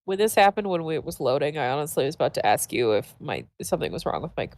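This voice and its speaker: A woman's voice: low-pitched, sing-song, fast talker, darkish tone, no echo. A woman streamer with a stuffy, congested nose, chatting casually and giggling often while playing a game. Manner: giggly, chatty, trips over restarts. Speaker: let's play streamer. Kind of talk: casual gaming chat.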